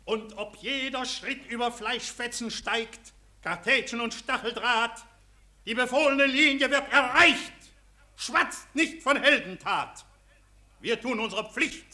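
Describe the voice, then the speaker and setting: An actor playing a fanatical war poet declaiming martial verse with bombast in a 1940s radio play. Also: male voice, slow pace, sharp tone, exaggerated voice. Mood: bombastic. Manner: declamatory, shrill, martial fervour.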